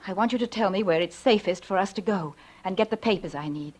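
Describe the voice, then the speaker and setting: A woman's voice: mid-range voice, fast, a little mellow, close to an everyday voice. A woman playing an anxious, fearful young mother in 1940s radio drama, with an earnest, tremulous, dramatic delivery on an old recording. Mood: anxious confiding. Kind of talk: 1940s radio drama acting, confiding narration.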